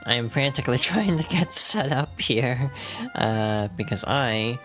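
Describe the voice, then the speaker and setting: A VTuber streamer with a hoarse, froggy voice from allergies, chatting casually while playing a game and laughing at their own slips. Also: mid-range voice, lively intonation, a little slow, a bit bright, faint music behind. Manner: chatty and self-deprecating, many fillers, laughs through words.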